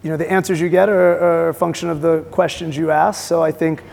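Gravelly, flat-toned man in a hall. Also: on the high side. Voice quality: raspy. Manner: halting, restarts phrases. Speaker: interviewer, venture investor. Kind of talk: onstage interview questions. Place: conference stage in a large hall. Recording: long hall reverb.

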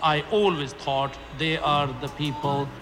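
Brief: male testimony, somewhat high voice, plain non-native phrasing, music clearly behind. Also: steady tone, measured pace. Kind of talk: personal testimony.

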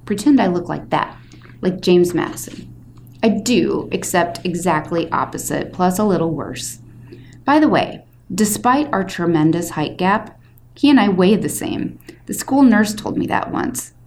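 A woman's voice: on the low side, lively intonation, measured pace. A woman reading as a short, wisecracking eighth-grade boy, chatty, theatrical and self-deprecating, in a storybook read-aloud. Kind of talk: expressive first-person audiobook-style reading. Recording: faint background noise.

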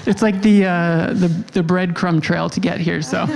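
A woman's voice: on the low side, lively intonation, unhurried, creaky, darkish tone. Chatty, upbeat woman podcast host. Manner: chatty, upbeat, lots of fillers. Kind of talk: casual chat and intro monologue.

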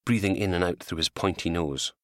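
A man reading his own crime novel as an audiobook, in an animated scripted narration. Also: sits low, brisk, dry recording, clean studio sound.

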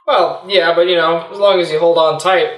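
A man's voice: on the high side, fairly even intonation, normal speed, fairly bright tone, soft background music, close to an everyday voice. Man acting cocky young guy, roomy distant sound. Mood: casual, mild. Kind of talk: improvised comic radio play. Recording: roomy, slightly distant mic.

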